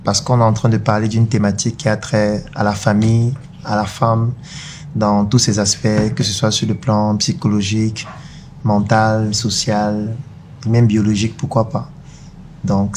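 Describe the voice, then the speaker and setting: Male doctor, co-host; low, flat, steady, explanatory delivery. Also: moderate pace, a little hiss or hum. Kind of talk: conversational self-introduction.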